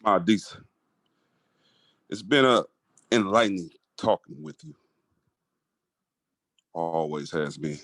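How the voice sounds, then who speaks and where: Male, actor as embattled mayor. Deep, unhurried, hesitant delivery, cautious and tired, close dry sound. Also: very animated, fairly bright tone, dry recording, heavily acted. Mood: measured, pressing. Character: weary, cautious male city mayor under pressure. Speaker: actor in a staged reading. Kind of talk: staged play reading.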